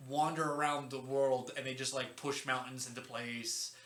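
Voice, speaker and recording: A man's voice: mid-range voice, fairly even intonation, moderate pace, a bit bright. A man hosting a podcast in a casual, joking, informal explainer style, stumbling over unfamiliar names. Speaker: podcast host. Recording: roomy echo, faint background noise.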